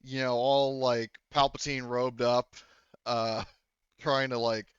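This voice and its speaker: A man speaking casually and haltingly as game master, explaining and narrating a tabletop roleplaying game. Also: medium pitch, flat delivery, clean tone, dry recording, highs cut off.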